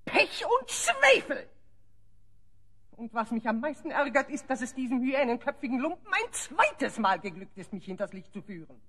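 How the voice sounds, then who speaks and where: A woman's voice: high-pitched, sing-song, normal speed, fairly bright tone, slightly rough, heavily acted. A woman playing an imperious, hot-tempered lady ruler of a fortress in a fantasy audio play, scolding in a haughty, theatrical way. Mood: exasperated. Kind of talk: German audio play acting.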